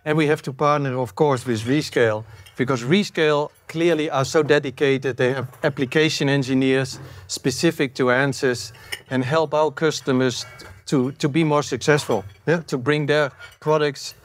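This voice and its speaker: A man's voice: mid-range voice, steady tone, normal speed. A man in his fifties from the Netherlands with a Dutch accent, speaking unscripted as a conference panelist. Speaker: panelist, software company representative. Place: on stage at a conference panel in a curtained room. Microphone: lapel mic.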